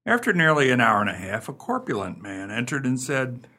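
A man hosting a radio theater show, delivering a scripted introduction and storytelling narration in a husky voice. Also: medium pitch, very animated, a little fast, pushed and bright. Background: music.

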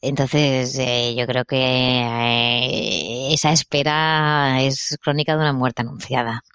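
A woman with a Spain accent speaks in a very low register for a female voice. She chats informally as a podcast guest, with a wry turn of phrase. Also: steady tone, slow, fairly bright tone, clean tone, no echo, studio quality. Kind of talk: casual chat.

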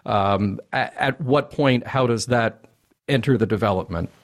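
A man's voice: fairly low pitch, a little slow. A man hosting an interview podcast, feeling his way through questions in a conversational, roundabout manner. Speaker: podcast host.

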